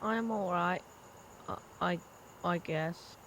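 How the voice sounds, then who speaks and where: A woman's voice: on the low side, takes it slowly, a bit bright, slight background noise. A woman playing a timid, troubled young boy in an audio drama, hesitant and stammering. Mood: sullen, subdued.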